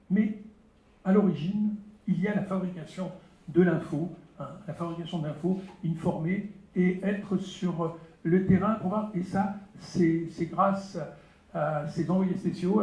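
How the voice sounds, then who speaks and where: A man's voice: on the high side, warm tone. A man, a journalist, moderates a round table, speaking emphatically and repeating words for stress. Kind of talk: panel moderation. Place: library auditorium. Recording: echoey hall, dull lo-fi sound.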